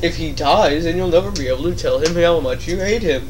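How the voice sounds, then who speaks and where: Male gamer, fairly high voice, sarcastic casual banter, distant mic with game soundtrack. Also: a little fast. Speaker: let's play player. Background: video game music.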